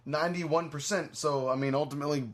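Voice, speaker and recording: Higher-voiced man hosting a podcast. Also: steady tone, fairly quick. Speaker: podcast host. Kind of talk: casual movie review chat.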